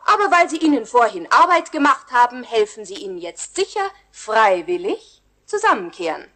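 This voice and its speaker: A woman's voice: a little high, sing-song, moderate pace, bright, forceful tone, full character voice. An actress playing a firm, reasonable mother in a children's radio play, coaxing and decisive.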